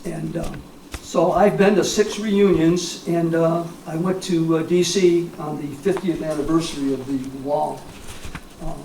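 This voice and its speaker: An American man in his seventies, a war veteran reminiscing informally in a halting, rambling way with frequent pauses. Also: fairly high pitch, steady tone, mellow. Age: 70s. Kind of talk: informal reminiscence at a public talk. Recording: echoey, distant mic, clear background noise.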